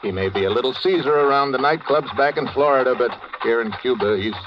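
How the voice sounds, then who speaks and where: A man's voice: mid-range voice, fast, background noise, lightly acted. An actor playing a tough, easygoing American man telling his own story in slangy, hardboiled 1940s radio style. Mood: breezy, confident. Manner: slangy, clipped hardboiled delivery. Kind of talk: 1940s radio acting, first-person narration. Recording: dull old radio broadcast, loud music behind.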